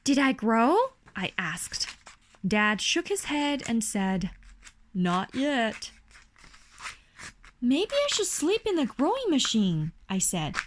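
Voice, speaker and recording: A woman's voice: a little high, slight background noise. A woman with a Chinese accent reads a children's picture book aloud expressively, as a parent would to a young child. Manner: slow, animated storytelling for a young child. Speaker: parent reading a bedtime story to a child.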